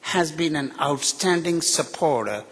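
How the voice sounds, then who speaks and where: Man with Indian accent, husky bright voice, candid joking podium speech, long hall reverb. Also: fairly high pitch, expressive, measured pace. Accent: Indian English with British and American influences. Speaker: guest speaker at a ceremony. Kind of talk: unscripted ceremonial tribute speech. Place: large hall. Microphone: podium microphone.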